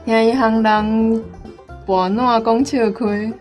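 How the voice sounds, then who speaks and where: A woman answers quiz questions casually in a slightly high voice. Her pace is slow, and loud plucked-string music runs behind her. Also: flat delivery. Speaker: student quiz contestant.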